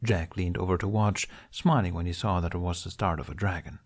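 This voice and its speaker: A man's voice: sits low, steady tone, a little fast. A man reading a story aloud as scripted narration. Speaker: podfic reader.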